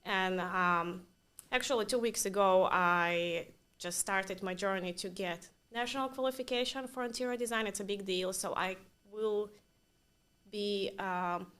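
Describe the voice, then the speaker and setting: A woman whose first language is Russian, a designer speaking as a guest in a casual interview, pausing to search for words in non-native phrasing. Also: medium pitch, fairly bright tone, clean tone.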